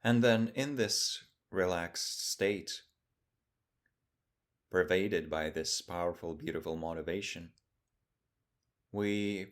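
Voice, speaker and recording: A man leading a guided meditation as a teacher, speaking calmly in short, measured phrases with long pauses. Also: low-pitched.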